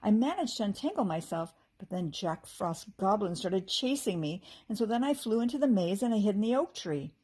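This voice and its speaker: A woman's voice: mid-range voice, big pitch swings, a little fast, hardly any pauses, warm tone, breathy edge. A woman voicing a tiny, sweet, earnest fairy girl in a children's story. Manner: gasping, exclaiming.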